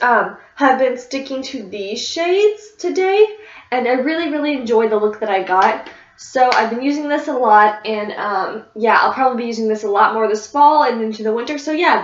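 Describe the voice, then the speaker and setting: A woman's voice: fairly high pitch, animated, moderate pace, a little hiss or hum. A woman talking casually about her favorite beauty products as a vlogger, a little congested and sniffly, rambling with fillers and restarts. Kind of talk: casual product review chat. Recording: echoey room, dull lo-fi sound.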